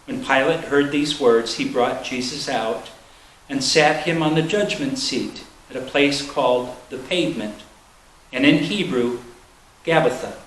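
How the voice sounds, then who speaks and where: A man's voice: mid-range voice, unhurried, fairly bright tone. Male scripture reader, solemn scripted delivery, echoey room with a faint noise underneath. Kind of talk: solemn scripted reading of a Bible narrative. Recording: roomy echo, faint background noise.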